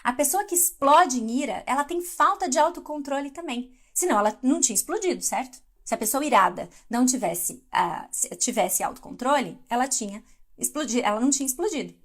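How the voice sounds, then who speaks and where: A woman's voice: sits high, lively intonation, brisk. Brazilian woman giving a live devotional. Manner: conversational, reads live comments aloud. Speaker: devotional speaker.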